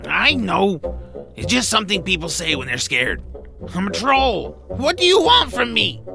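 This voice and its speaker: A man playing an anxious, complaining troll in an audio drama, with a gravelly, fretful voice. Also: sits high, very animated, normal speed, heavily acted. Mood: anxious grumbling. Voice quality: gravelly, creaky. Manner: fretful, grumbling. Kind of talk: audio drama character acting. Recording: music behind the voice.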